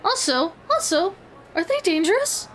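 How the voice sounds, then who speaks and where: A woman voicing a small, plucky young gnome adventurer in a fantasy audio story, curious and expressive. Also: very high voice, normal speed, soft background music, exaggerated voice. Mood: curious, friendly.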